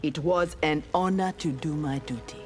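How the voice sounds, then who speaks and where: A woman's voice: low-pitched, moderate pace, natural voice. A woman playing a defiant, honorable female ninja warrior in a video game, speaking firmly and earnestly. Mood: composed. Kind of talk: scripted video game dialogue. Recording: music behind the voice.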